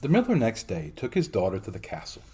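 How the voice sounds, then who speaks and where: A man narrating a fairy tale as a storytelling host, in a theatrical, expressive dramatic reading. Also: fairly low pitch, fast talker.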